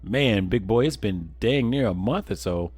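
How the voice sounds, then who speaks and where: A man's voice: on the low side, expressive, moderate pace, darkish tone, breathy edge, no room sound, faint music behind. A man live streaming a game, chatting casually with viewers in a folksy, rambling way, often repeating words.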